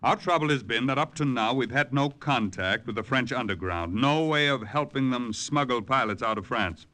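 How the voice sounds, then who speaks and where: A bright, forceful male voice of medium pitch , an actor as a commanding American colonel. The delivery is clipped and emphatic, in the urgent authoritative style of 1940s wartime radio drama. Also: moderate pace, natural voice. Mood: brisk, urgent.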